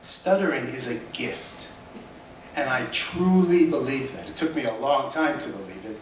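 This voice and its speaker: A man's voice: medium pitch, moderate pace, a little hiss or hum. A man speaking earnestly and emphatically to an audience. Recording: echoey hall from far off.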